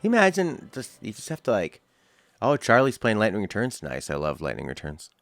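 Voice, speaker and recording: Deep-voiced man chatting over game music. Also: normal speed, dry recording. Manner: chatty, reacting aloud with sudden excited exclamations. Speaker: game streamer. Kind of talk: casual let's-play commentary. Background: game music and sound.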